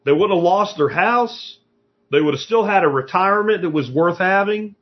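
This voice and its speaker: A man's voice: high voice, expressive, normal speed, highs cut off. Blunt, profane man in his fifties. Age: 50s. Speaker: podcast host. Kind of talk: blunt motivational talk.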